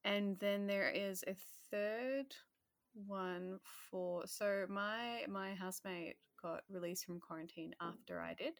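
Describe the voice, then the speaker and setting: A woman talks in a chatty, animated way and acts out little bits of what she said back then. Her voice sits at an ordinary middle pitch. The sound is dry and close, with no room echo. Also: slow pace, creaky. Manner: chatty and animated, acts out her own past remarks, swears casually. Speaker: guest, healthcare worker. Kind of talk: casual conversational interview.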